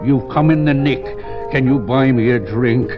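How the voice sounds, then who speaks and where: An actor playing a down-and-out barfly informant in a 1950s radio drama, speaking in pompous, mock-courtly flourishes full of self-pity. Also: male voice, mid-range voice, moderate pace, close to an everyday voice. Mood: effusive. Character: down-and-out grandiloquent male barfly informant, theatrically self-pitying. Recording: muffled vintage radio recording with music.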